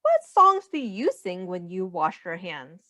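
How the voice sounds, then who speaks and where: A woman's voice: lowish voice, huge pitch swings, a little slow, pushed and bright, no room sound, clean studio sound. A woman reading a children's picture book aloud in a sing-song way, as a teacher speaking simply to young children.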